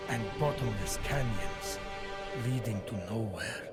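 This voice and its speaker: A man's voice: lowish voice, animated, unhurried, over loud music. A man voicing a grandiose storyteller, narrating a mythic tale for a video game in a dramatic manner. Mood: grand.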